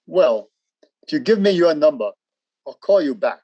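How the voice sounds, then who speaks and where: An actor playing a firm, procedural military security officer in a radio drama, formal, brisk and husky. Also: male voice, a little high, lively intonation, mellow, muffled recording. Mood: guarded, official.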